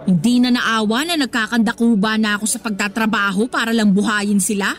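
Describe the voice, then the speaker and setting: A woman's voice: fairly high pitch, fairly even intonation, fairly quick, soft background music, natural voice. An actress with a Filipino accent playing a dramatic, exasperated young breadwinner girlfriend who vents emphatically in a radio drama. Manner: dramatic, emphatic, slangy venting. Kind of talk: Tagalog radio drama acting.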